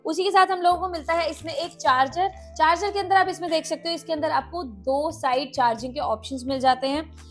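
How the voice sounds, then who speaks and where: Woman, Indian accent, high-pitched voice, casual review host, explanatory, English words mixed in, faint music behind. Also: brisk. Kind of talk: casual unboxing and product review to viewers.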